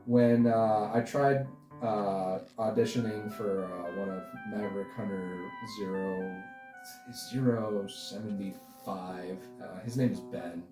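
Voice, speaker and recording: A young man chatting casually as an interview guest, rambling and halting as he talks. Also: fairly low pitch, steady tone. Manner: rambling, halting, chuckles often. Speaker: interview guest, online animation creator. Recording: roomy echo, distant mic, music behind.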